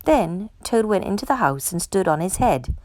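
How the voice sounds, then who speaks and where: A woman's voice: mid-range voice, expressive, moderate pace, a little mellow, no echo. A woman narrating a children's storybook as a read-aloud for storytime. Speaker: storytime reader.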